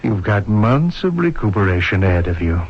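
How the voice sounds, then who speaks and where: An actor playing a grave, cautious hospital doctor with a measured, firm manner in a 1970s radio drama. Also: male voice, lowish voice, sing-song, husky, exaggerated voice. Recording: dull 1970s radio recording.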